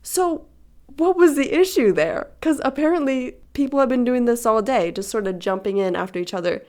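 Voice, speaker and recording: A woman's voice: on the high side, moderate pace, a little mellow. A nineteen-year-old woman from Sweden who grew up in Hong Kong, chatting casually and rambling over gameplay as she talks straight to her viewers. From Sweden, raised in Hong Kong. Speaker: gaming video creator.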